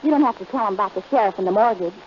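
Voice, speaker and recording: A woman's voice: medium pitch, rapid-fire. A woman doing teasing sidekick banter in a 1930s radio comedy. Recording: dull, noisy old radio recording.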